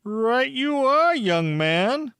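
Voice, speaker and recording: A man acting out characters for a whimsical audiobook in a theatrical, deliberate way. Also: very high voice, very animated, a bit bright, clear, resonant tone, dry recording, studio quality. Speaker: audiobook voice actor.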